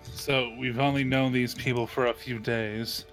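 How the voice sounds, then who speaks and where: A man playing a cautious, uneasy female elf warrior in a tabletop game, with measured, formal, deliberating speech. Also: medium pitch, little pitch movement, a bit bright, soft background music, natural voice. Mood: uneasy, pensive.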